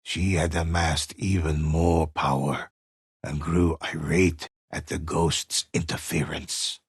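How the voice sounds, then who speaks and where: An actor playing a grave old male storyteller in a video game, telling ghost legends in a solemn, ominous, measured way with a gravelly, husky voice. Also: extremely low pitch, fairly bright tone, no echo, studio quality, exaggerated voice. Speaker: narrator.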